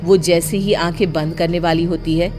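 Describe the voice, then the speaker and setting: A woman narrates a scripted tale as storyteller. Her voice is on the low side for a woman. Music plays clearly behind her. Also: fairly even intonation, normal speed.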